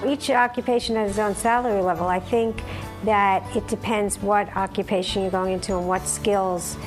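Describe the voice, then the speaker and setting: A woman's voice: mid-range voice, steady tone, normal speed, over music, low-quality recording. Confident female career counselor. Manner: confident advice-giving tone. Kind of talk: recorded interview clip.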